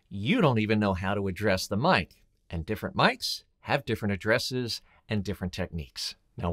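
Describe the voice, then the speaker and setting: A middle-aged man hosting a scripted advice video to camera, speaking in a blunt, emphatic, colloquial way. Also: lowish voice. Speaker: host, voiceover coach and voice actor.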